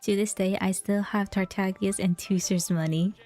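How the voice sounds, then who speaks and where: Mellow-voiced woman streaming a game. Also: medium pitch, steady tone, normal speed, faint music behind. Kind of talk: casual gameplay commentary.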